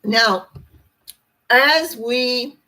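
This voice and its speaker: An older woman with a hoarse, croaky voice, giving an informal spiritual talk to camera in a rambling, folksy way with asides. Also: a little high, extremely slow, sharp tone. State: hoarse after a treatment, clearing throat. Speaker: host, tarot reader and spiritual teacher.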